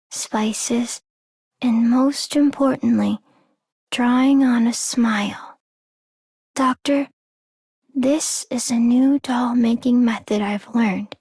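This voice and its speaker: A woman voicing a gentle, shy young girl in a game, soft and hushed with halting delivery. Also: fairly high pitch, slightly rough, dry recording, full character voice. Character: gentle quiet young girl who crafts dolls. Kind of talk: anime-style game character acting.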